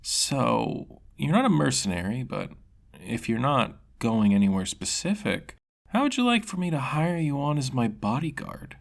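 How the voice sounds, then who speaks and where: A man's voice: mid-range voice, big pitch swings, moderate pace, gravelly, pitched above the performer's own voice. A man voicing a scheming, anxious young demon woman with a casual, coaxing manner in a fantasy story.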